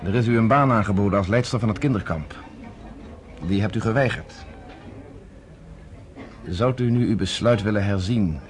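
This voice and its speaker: An actor playing a confident, courteous underground leader in a radio play, speaking in a measured, formal way. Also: male voice, on the low side, soft background music, lightly acted. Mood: persuasive. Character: confident, persuasive underground leader, former army captain, courteous.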